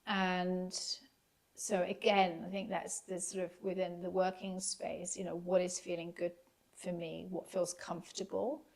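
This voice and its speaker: A woman guest on a podcast, speaking English as her first language, talking thoughtfully with many pauses and fillers. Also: fairly low pitch, little pitch movement, gravelly, a little mellow, poor audio. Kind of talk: reflective casual conversation.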